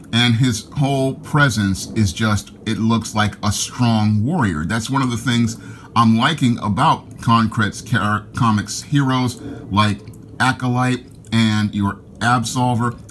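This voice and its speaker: A man's voice: medium pitch, a bit bright, a little hiss or hum. A man giving an enthusiastic, casual comic book review, often repeating himself as he talks. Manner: enthusiastic, repeats "again" and "your".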